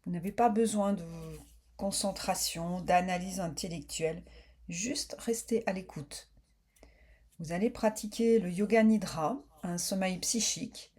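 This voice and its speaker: Calm lady leading a yoga nidra session, warm relaxed voice, slow soothing instructions. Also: lowish voice.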